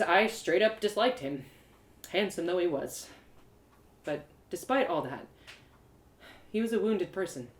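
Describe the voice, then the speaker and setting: A woman narrating a story expressively in the first person, as a live storyteller. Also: lowish voice. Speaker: storyteller and host of live readings. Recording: roomy, echoey live reading.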